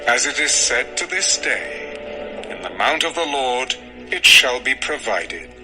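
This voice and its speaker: Casting: male narrator, mid-pitched, formal and measured scripture storytelling, clear music bed. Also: sing-song. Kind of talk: solemn dramatized scripture narration. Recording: music under the voice.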